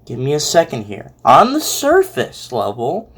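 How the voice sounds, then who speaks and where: A man with a mid-pitched voice talks off the cuff as a reaction host. He rambles and halts, circling back over his words in a casual, conversational style. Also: wildly swooping pitch, a bit bright, husky. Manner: halting, rambling, heavily repeating words. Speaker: host reacting to a fan fiction audio reading. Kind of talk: casual, unscripted reaction commentary.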